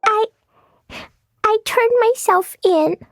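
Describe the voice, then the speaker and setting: A woman's voice: very high voice, takes it slowly, creaky, exaggerated voice. A woman voicing an earnest, innocent, anxious little girl in a video game, with a childlike, dramatic delivery. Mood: tearful guilt. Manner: childlike, earnest, dramatic, refers to herself by name. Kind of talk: scripted video game character acting.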